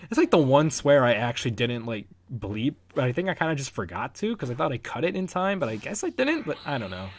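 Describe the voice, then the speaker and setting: A man's voice: medium pitch, very animated, a little fast. A young man giving casual, rambling and enthusiastic behind-the-scenes commentary as the creator of a fan dub. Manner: rambling, enthusiastic, chuckles often. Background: music under the voice.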